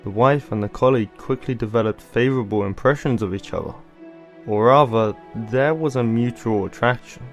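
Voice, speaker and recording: A man plays a cool, eloquent older brother in his teens for an audio drama. He has a medium-pitched voice and a calm storyteller's manner, with soft music underneath. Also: normal speed, lightly acted. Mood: mysterious, calm. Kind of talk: amateur audio drama acting.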